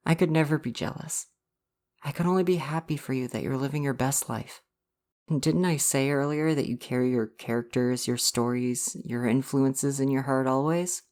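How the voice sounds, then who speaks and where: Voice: mid-range voice, moderate pace, close to an everyday voice. A performer playing an affectionate visual novel boyfriend in an ASMR roleplay, earnest and reassuring. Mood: affectionate, slightly sheepish. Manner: soft-hearted, reassuring, earnest. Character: gentle, affectionate dating-sim boyfriend come to life. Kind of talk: ASMR roleplay, personal affirmation.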